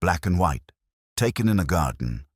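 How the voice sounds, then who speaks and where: A man narrating a scripted mystery story in a measured, literary manner. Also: low voice, sing-song, dry recording, clean studio sound.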